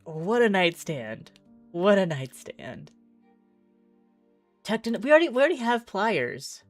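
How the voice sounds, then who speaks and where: A woman's voice: medium pitch, big pitch swings, a little slow, no echo, faint music behind. A woman streamer doing chatty game playthrough commentary, reading text aloud and musing as she goes.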